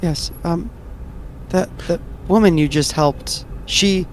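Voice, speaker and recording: A man's voice: a little high, slow pace, a little hiss or hum, poor audio. A man playing a tabletop roleplaying game, improvising in-character dialogue in a hesitant way.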